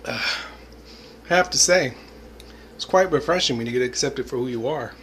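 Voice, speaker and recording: A man's voice: medium pitch, moderate pace, fairly bright tone, slight background noise. A man in his forties talking to the camera in a rambling, reflective monologue, full of "you know" and "I mean" and bits of laughter. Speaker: vlogger. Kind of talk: casual spoken monologue.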